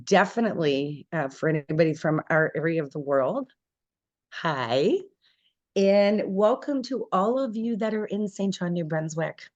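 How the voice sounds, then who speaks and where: Host voice for an online session: a woman from Canada with a lowish pitch, friendly, on a dry-sounding video call. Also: measured pace, dry recording, dull audio. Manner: friendly, moderating introductions.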